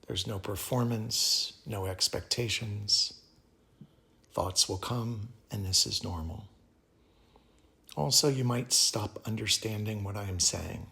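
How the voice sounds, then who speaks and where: A man leading a guided meditation as a yoga teacher, speaking calmly and soothingly in measured phrases with pauses. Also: lowish voice, vocal fry.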